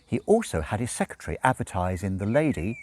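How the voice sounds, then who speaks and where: Low-voiced man narrating with very lively intonation. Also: fast, slight background noise. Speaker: audiobook reader. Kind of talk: expressive scripted narration of a novel.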